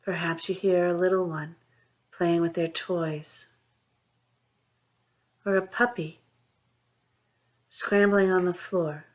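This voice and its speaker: A woman leading a guided mindfulness meditation, speaking in a soothing, unhurried way with long pauses between phrases. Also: on the low side, fairly even intonation. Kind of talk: guided meditation, gentle instruction.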